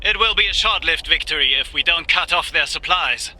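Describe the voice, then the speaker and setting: A vengeful war commander in a game cutscene. The voice is high for a man and piercingly forceful, giving orders with impassioned authority. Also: brisk, poor audio. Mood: determined, commanding. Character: ruthless, vengeful German military commander of noble rank.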